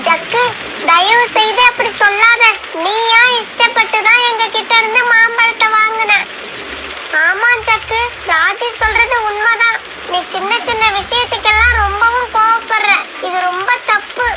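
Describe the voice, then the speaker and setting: A woman voicing an earnest, childlike little bird, a sensible friend in an animated children's story. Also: very high-pitched, measured pace. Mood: pleading, reproachful. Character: small young bird, pleading, worried, sensible friend. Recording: noisy track with loud rain ambience.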